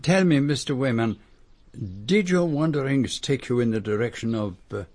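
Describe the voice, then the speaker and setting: A man's voice: mid-range voice, normal speed, a little mellow, dry recording, natural voice. A man playing a courteous foreign detective in a radio drama, formal and politely probing as he questions people and narrates his case.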